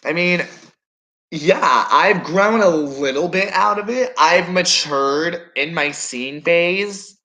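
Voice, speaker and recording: A man's voice: fairly high pitch, slow pace, sharp tone. A nineteen-year-old man rambling through a casual reaction vlog at home, musing and questioning himself as he talks. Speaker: vlogger.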